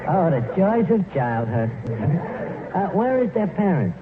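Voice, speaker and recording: An actor playing a scheming, wisecracking tavern manager in a 1940s radio sitcom, hemming and mangling phrases. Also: male voice, sits high, very animated, a little slow, a loud crowd. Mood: wry. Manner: malapropisms and hesitant filler-laden wisecracks. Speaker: comedy show lead. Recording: scratchy narrow-band 1940s radio, loud audience.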